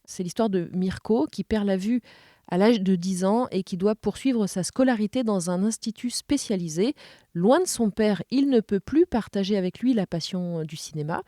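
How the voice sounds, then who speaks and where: A woman's voice: medium pitch, normal speed, mellow, no room sound, clean studio sound. A woman podcast host who interviews and presents in a composed, articulate way.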